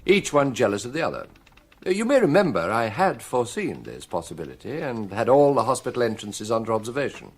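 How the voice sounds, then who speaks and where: An actor playing a calm, shrewd middle-aged police chief with a British accent and a measured, dry, authoritative manner in a radio drama. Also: male voice, medium pitch. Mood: confident.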